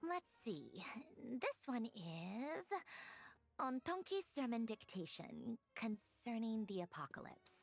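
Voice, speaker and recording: A woman's voice: fairly high pitch, big pitch swings, slow pace, faint music behind, full character voice. A woman voicing a studious, analytical young scholar in a video game, precise and bookish as she thinks aloud. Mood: absorbed, analytical. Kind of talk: animated video game voice acting.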